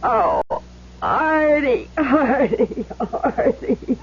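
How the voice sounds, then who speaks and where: A woman's voice: sits high, huge pitch swings, extremely slow, breathy edge, exaggerated voice. An actress playing a feisty, principled, big-hearted woman in an old-time radio drama, blunt, defiant and emphatic. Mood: dismayed. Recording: dull old radio recording, faint hum.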